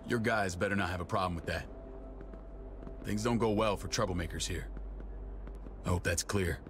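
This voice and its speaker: An actor playing a stern, middle-aged American survivor-camp authority in a video game, curt, commanding and menacing. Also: male voice, sits low, normal speed, over music, close to an everyday voice. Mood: stern warning. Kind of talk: dramatic video game voice acting.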